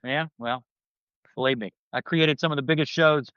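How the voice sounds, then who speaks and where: A man's voice: medium pitch, moderate pace, a bit bright, smooth, no echo. An American man from Philadelphia talking casually as a guest, brash, sarcastic and salty, on a slightly dull microphone. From Philadelphia, United States. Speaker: guest, publicist and commentator. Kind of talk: casual talk, political commentary.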